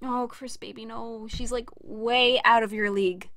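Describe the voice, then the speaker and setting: A woman's voice: on the high side, steady tone, a little slow, fairly bright tone. A young woman playing an awkward, blunt, teasing college student in an audio drama. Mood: teasing, casual. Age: young adult. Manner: flustered, blunt.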